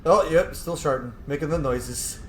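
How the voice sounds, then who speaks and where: A man's voice: on the high side, moderate pace, roomy sound, slight background noise. A man giving casual, irreverent gameplay commentary, muttering asides and swearing lightly. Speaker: video game streamer.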